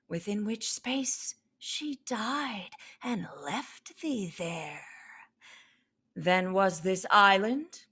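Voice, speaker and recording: A stern exiled sorcerer, acted by a woman declaims Shakespearean verse in a radio play. The delivery is imperious and measured, with long slow phrases and dramatic sweeps of pitch. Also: fairly bright tone, exaggerated voice. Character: commanding, stern sorcerer, exiled noble parent and master.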